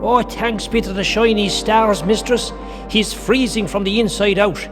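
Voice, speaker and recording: Fretful servant in echoing hall. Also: male voice, high voice, fairly even intonation, measured pace. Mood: fretful, worried. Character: fretful, devoted servant of a queen. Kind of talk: children's audio drama acting. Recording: reverberant hall, music behind.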